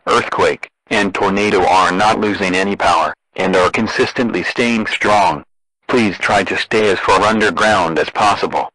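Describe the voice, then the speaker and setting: A man with a fairly low but bright, forceful voice delivers a scripted emergency alert. His delivery is formal and deadpan, like an official announcer. The sound is very dry, with no room around it. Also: slightly rough, no room sound, rough recording. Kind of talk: scripted emergency broadcast narration.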